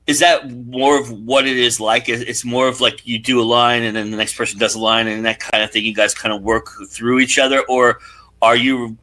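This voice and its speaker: A man's voice: medium pitch, flat delivery, normal speed, sharp tone. A man hosting a casual interview, asking wordy questions as he thinks aloud. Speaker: show host and interviewer.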